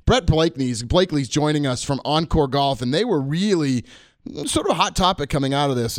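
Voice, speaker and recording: Jokey male radio host at a middle pitch, dry studio sound, chatty with the guest. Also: no room sound. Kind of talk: radio interview.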